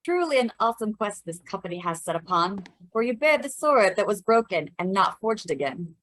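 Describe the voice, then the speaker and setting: A woman's voice: medium pitch, big pitch swings, brisk, fairly bright tone, dry recording, rough recording. A woman playing a stately, aloof elven queen with formal, archaic phrasing in a fantasy table read. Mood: solemn.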